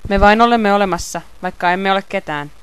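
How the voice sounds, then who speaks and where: A woman's voice: medium pitch, clean tone, natural voice. A woman playing a cool, sardonic, casually menacing young man in an amateur game fandub. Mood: coolly cruel. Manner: nonchalant, sardonic.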